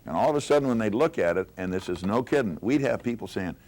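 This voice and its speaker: A man's voice: lowish voice, expressive, fast, a little mellow, low-quality recording. A man, a veteran radio staffer, telling emphatic stories from his career in a panel conversation.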